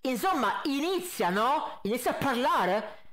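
A man's voice: very high-pitched, big pitch swings, a little slow, a crowd in the background. A man, giving casual, critical commentary as a reaction video host into a close microphone. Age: 20s. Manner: critical, explanatory. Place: indoor home streaming setup with green screen. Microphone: close condenser mic on boom arm with pop filter.

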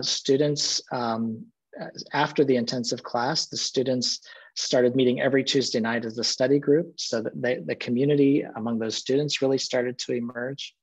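A man's voice: medium pitch, breathy edge. A middle-aged man, a seminary president, telling stories in an earnest, reflective way during a video-call interview from his office. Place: office with bookshelves. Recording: muffled video-call audio.

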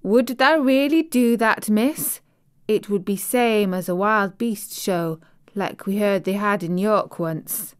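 A woman's voice: medium pitch, slow pace, clean tone, lightly acted. A woman voicing a cheerful, chatty Yorkshire servant girl in broad dialect, for an audiobook. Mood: delighted. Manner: broad dialect, lively and excited.

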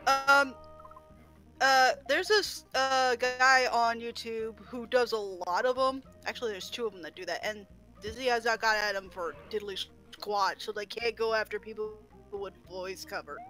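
A woman's voice: a little high, moderate pace, pushed and bright, faint music behind. A woman chatting casually with her co-streamers over a game stream, laughing often and sometimes searching for words.

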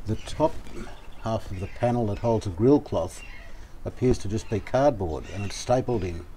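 An older man calmly talking through a restoration job outdoors, thinking aloud as he works. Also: fairly low pitch, a little hiss or hum. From Australia. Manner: thinking aloud, calm and unhurried, plain-spoken with fillers. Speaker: radio restorer, channel host. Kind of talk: casual hands-on workshop narration. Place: outdoor verandah in the garden.

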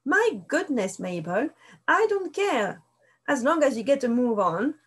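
A woman voicing a bossy, impatient farmer's wife in a storybook, giving orders in a sharp, commanding voice. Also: high voice, sing-song, unhurried, slightly rough, exaggerated voice.

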